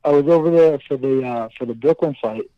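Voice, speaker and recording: A man's voice: medium pitch, brisk, no echo. Laughing young man on a dull, dry call. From Boston, USA. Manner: laughs often, relaxed, says dude. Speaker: guest, fighter. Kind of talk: casual interview chat.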